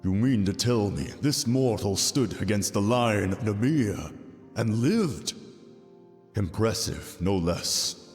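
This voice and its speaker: A man playing an ancient, benevolent king of the gods in an audio roleplay, speaking to the listener in a formal, measured, fatherly way. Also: fairly low pitch, expressive. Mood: impressed, stately. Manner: formal, stately, measured delivery. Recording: long reverberant hall. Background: soft background music.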